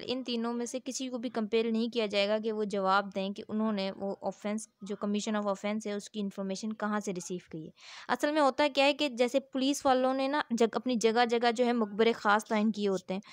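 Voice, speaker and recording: A woman's voice: a little high, no room sound, clean studio sound. A woman with a Pakistani accent lecturing on law, reading formal text aloud and explaining it in a didactic, repetitive way. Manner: didactic, repeats points and asks rhetorical check questions.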